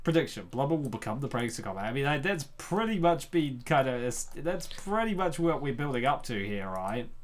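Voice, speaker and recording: A woman's voice: rumbling low, very animated, fairly quick, few pauses. A woman hosting a reaction in a casual, joking, playful way. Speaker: reaction host and dub reader.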